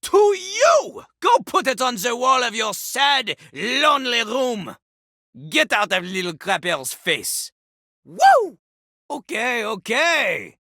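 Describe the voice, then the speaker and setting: A woman's voice: fairly high pitch, very animated, slow, fairly bright tone, no room sound, studio quality, heavily acted. A woman voicing a cocky, ruthless talking toilet rapper in a video game, with a brash, mocking, grandiose manner. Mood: furious, scornful, then smug. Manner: brash, mocking, grandiose, speaks of self in third person. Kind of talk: animated video game character acting.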